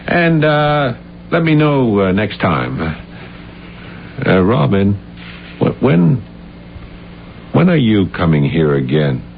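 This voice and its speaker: An actor playing an unstable, deluded man in an old-time radio drama, halting, stammering and repeating his words. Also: on the low side, sing-song, vocal fry, exaggerated voice. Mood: eager, rambling. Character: unstable, deluded playwright who insists he killed his uncle. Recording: muffled, hissy 1970s radio recording.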